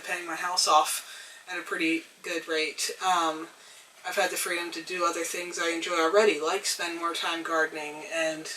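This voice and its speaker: A young woman getting over a cold, her voice a little hoarse, talking thoughtfully to the camera with frequent pauses and ums. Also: on the low side, fairly bright tone, far from the mic, a little hiss or hum, poor audio. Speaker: vlogger. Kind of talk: reflective casual talk to camera. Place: tiny house.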